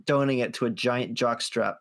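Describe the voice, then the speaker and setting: A man's voice: mid-range voice, brisk. A man with a slight Boston accent, co-hosting a casual podcast chat and often laughing or groaning in disgust. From Boston, USA. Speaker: podcast co-host.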